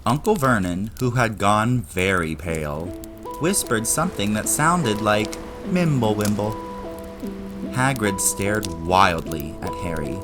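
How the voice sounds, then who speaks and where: Man narrating audio drama: unhurried, lower-voiced, expressive scripted reading over a clear music bed.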